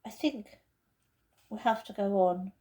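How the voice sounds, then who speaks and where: Responsible eldest boy, played by a woman, firm, matter-of-fact, decisive, mellow tone, storybook character. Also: medium pitch, measured pace, natural voice. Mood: resolute.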